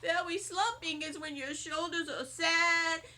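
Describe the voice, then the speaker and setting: A woman reading a children's storybook aloud in an expressive narrating style. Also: very high voice, unhurried. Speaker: storybook reader, teacher.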